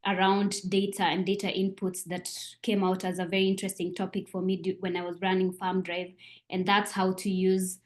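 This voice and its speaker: A woman in her thirties from Kenya, moderating an online panel over a video call in a measured way. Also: medium pitch, little pitch movement.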